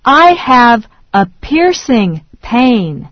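A woman slowly reading English phrases one at a time in a language drill, with deliberate enunciation. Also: on the high side, big pitch swings, dry recording. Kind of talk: slow repeat-after-me English drill. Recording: dry, dull lo-fi studio read.

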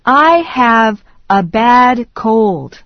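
A woman slowly reading English phrases one at a time in a language drill, with deliberate enunciation. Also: fairly high pitch, animated, dry recording. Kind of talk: slow repeat-after-me English drill. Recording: dry, dull lo-fi studio read.

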